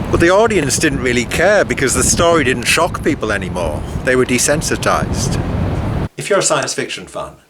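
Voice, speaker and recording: A man's voice: mid-range voice, very noisy. A man presenting informal documentary commentary, mixing scripted points with conversational asides. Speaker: author and presenter.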